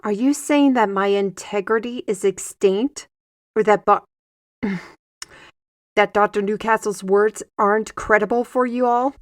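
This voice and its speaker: A woman's voice: mid-range voice, unhurried, no room sound, studio quality, close to an everyday voice. A woman playing a defiant, sardonic young artist with magical powers in a fantasy audio drama, speaking formally and pointedly. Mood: indignant.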